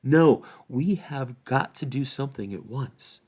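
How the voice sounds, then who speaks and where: Guy reading as a resolute hobbit rallying his friends, emphatic, pitch jumps around a lot. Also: lively intonation, a little slow, a little mellow. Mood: urgent, rallying. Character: earnest, resolute hobbit adventurer urging his companions to act.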